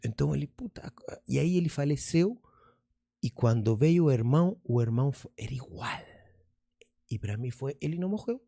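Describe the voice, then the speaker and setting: Gravelly man with a Spanish accent. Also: mid-range voice, animated, normal speed, dark tone, no echo, studio quality. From Argentina. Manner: rambling, restarts, drifts into Spanish words. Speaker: guest, magician and comedian. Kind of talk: casual storytelling in an interview.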